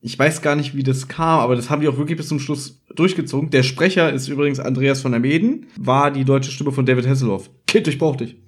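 A man's voice: medium pitch, steady tone, fast talker, few pauses. A man chatting casually as a podcast host, playfully joking and acting out bits as he talks. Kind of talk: casual chat about an audio drama episode.

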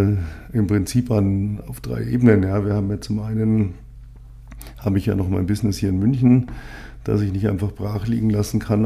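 A man's voice: fairly low pitch, vocal fry, slightly rough. A man, hosting a casual solo podcast and rambling with many fillers. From Munich, Germany. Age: 50s. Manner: rambling, frequent fillers, relaxed. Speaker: podcast host, agency owner.

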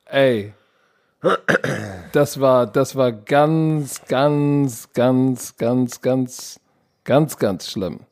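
A man co-hosting a podcast in casual sports chat, excitable and slangy, often repeating words. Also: medium pitch, drawn-out words. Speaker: podcast co-host.

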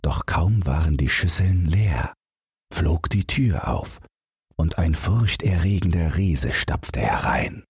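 Very deep, husky male storyteller. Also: animated, slow pace, dry recording, studio quality. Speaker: audiobook narrator. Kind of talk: scripted bedtime fairy-tale narration.

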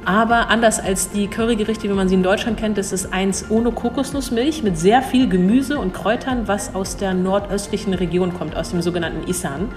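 A young woman answering interview questions in a thoughtful way, hesitating and restarting, quick to laugh. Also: medium pitch, fairly even intonation, over music. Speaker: cultural attaché at an embassy.

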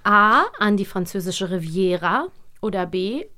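A woman's voice: medium pitch, expressive, measured pace, pushed and bright. A woman co-hosting a podcast about radio plays, chatty and laughing often, dropping in French and English words. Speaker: podcast co-host, quiz master. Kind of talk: casual chat about audio dramas. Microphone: studio microphone.

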